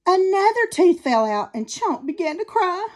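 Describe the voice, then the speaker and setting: High-voiced woman reading a children's story. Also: normal speed. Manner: expressive. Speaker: storybook reader. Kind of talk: children's read-aloud narration.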